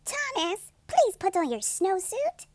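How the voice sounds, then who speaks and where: A woman's voice: very high voice, very animated, slow, a little mellow, no room sound, voice pushed up, full character voice. A woman voicing a bossy, easily flustered schoolteacher with emphatic, exasperated delivery, for a children's storybook. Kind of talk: children's storybook read-aloud.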